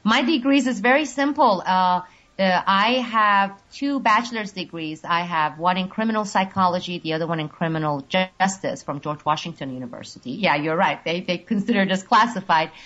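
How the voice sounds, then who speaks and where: A woman's voice: lowish voice, fairly bright tone. Woman on a muffled call. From Turkey. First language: Turkish. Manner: measured, explains at length with many pauses. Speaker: interview guest. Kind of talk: long recorded call interview. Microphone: video call. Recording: dull lo-fi call audio.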